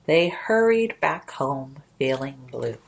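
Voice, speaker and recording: A woman hosting a children's storytime, reading aloud in an expressive, deliberate storytelling manner. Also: very deep voice, gravelly, background noise. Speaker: librarian storytime host. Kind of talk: expressive read-aloud of rhyming children's picture book.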